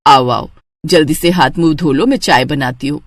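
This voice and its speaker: A woman's voice: deep voice, normal speed, no echo, voice pushed down. A woman voicing a thrifty older mother-in-law in a moral story cartoon, watchful and fond of nagging household advice. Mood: motherly.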